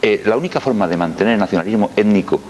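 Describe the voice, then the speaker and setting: A man's voice: lowish voice, lively intonation, very fast, darkish tone, slight background noise. A man on a TV debate panel, talking bluntly and arguing his point hard. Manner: blunt, argumentative. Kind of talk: televised political debate. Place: TV studio.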